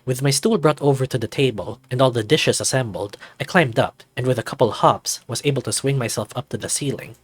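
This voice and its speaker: A man giving measured, formal first-person narration of a scripted story. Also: medium pitch, husky.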